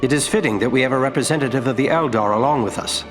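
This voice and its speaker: A man playing a noble, courteous ranger of royal blood in a fantasy game, speaking in sincere, measured, formal phrases. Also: medium pitch, natural voice. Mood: earnest. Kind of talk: fantasy game dialogue acting. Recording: long hall reverb, faint music.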